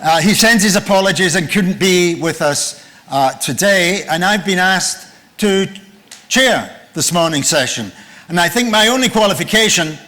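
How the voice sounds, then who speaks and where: An older man with a loud voice, chairing a conference session and formally introducing a guest speaker after a joking opening. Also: high-pitched, lively intonation, moderate pace. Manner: jokey, then reads out a guest's biography. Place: conference room.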